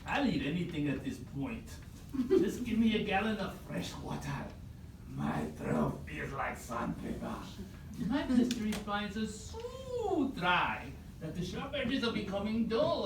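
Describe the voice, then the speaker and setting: A woman's voice: mid-range voice, huge pitch swings, a little slow. A woman voicing a grumbling, wary, prickly animal with a dramatic, complaining manner in a live puppet show. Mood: weary, worried. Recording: distant echoey room, faint noise.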